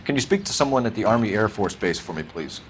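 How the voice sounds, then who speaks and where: A man's voice: on the low side, animated, fast talker, a little hiss or hum, close to an everyday voice. A man playing a stern, insistent police detective with a formal manner in a 1940s video game drama. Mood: brisk, official.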